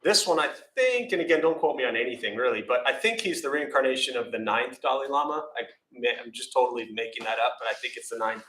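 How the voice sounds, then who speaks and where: A man's voice: medium pitch, fast, hardly any pauses, a bit bright. An American man giving a casual meditation talk, self-deprecating and rambling with fillers. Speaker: meditation teacher. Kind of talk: informal dharma talk with questions. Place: temple meditation hall. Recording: echoey room.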